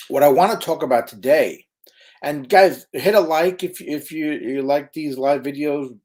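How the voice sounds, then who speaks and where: A man's voice: medium pitch, darkish tone. A man teaching emergency medical crews in a casual live stream, chatty, with lots of fillers and "right?" tag questions. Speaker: EMS educator.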